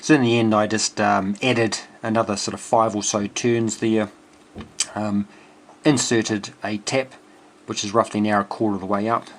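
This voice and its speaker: Low-voiced man, halting technical explainer, noisy recording. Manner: hesitant, halting, thinking aloud. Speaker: amateur radio builder presenting a project. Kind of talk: informal technical walkthrough. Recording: clear steady background noise.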